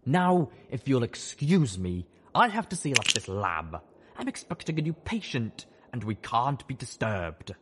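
A man's voice: medium pitch, very animated, unhurried, very noisy, voice pushed up. A man voicing a glib, cheerful cyborg pony scientist in an audiobook, breezy and matter-of-fact. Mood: amused, brisk. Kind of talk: audiobook character voice.

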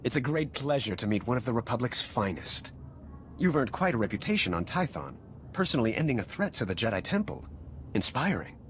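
An actor playing a courteous, earnest political aide in a video game, formal and polished. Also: male voice, medium pitch, big pitch swings, a little fast, fairly bright tone, a little hiss or hum. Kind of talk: video game cutscene acting. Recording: faint background ambience.

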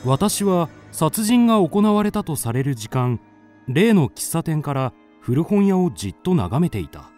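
A man's voice: a little high, sing-song, a little slow, darkish tone, faint music behind. An actor playing a curious man in his twenties who narrates a mystery radio drama. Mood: brooding, measured.

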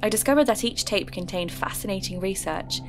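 A woman from England, narrating a scripted story podcast in a measured, earnest way. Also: mid-range voice, low-quality recording. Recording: clear music under the voice.

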